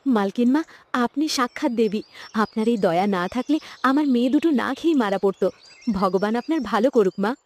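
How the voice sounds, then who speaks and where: A woman voicing a frail, gentle, humble poor mother in a cartoon story. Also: on the high side, expressive, fast, a little hiss or hum, lightly acted. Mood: humble, grateful. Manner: humble, tender, pleading. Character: frail, gentle, self-sacrificing poor widowed village mother. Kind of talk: Bengali cartoon moral-story voice acting. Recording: music under the voice.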